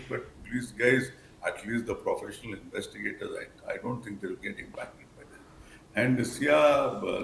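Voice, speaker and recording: An older man with an Indian English accent giving formal, measured, wordy commentary as a news panel expert. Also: on the low side, creaky. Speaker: expert guest, former senior police officer. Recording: echoey room, faint background noise.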